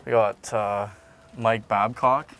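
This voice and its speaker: A man's voice: lowish voice, fairly even intonation, slow, noisy background. A young man with a Canadian accent chatting casually in brief, agreeable answers inside a shopping mall. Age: young adult. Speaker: guest, hockey player. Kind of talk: casual chat. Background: faint music.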